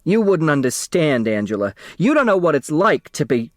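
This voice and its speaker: A man voicing a shrill, self-pitying woman secretary in an audiobook, given to indignant, theatrical outbursts. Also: big pitch swings, moderate pace, dry recording, clean studio sound. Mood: wounded, defiant.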